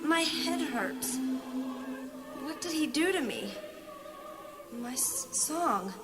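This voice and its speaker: A woman's voice: sits high, animated, slow, loud music behind the voice. A woman playing a dazed, fragile, confused character in a fantasy audio drama, faltering and half-singing. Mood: dazed, pained.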